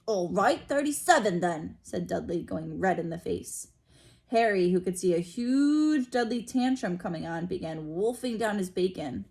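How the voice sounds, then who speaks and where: A woman's voice: lowish voice, animated, normal speed, vocal fry, dark tone. A woman reading a children's story aloud expressively, like a school principal, with explanatory asides.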